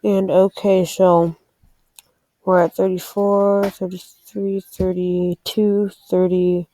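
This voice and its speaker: A woman's voice: on the low side, little pitch movement, slow pace, mellow, no room sound, low-quality recording. A woman giving a casual game walkthrough, thinking aloud and a little hesitant as she explains. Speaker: presenter of a game tutorial. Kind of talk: casual screen-recorded game walkthrough commentary.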